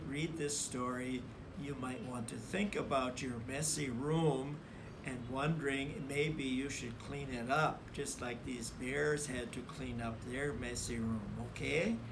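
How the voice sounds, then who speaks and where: Male children's story reader, unhurried and deliberate, stressing words, with faint background noise. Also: mid-range voice, vocal fry. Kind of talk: read-aloud children's story narration.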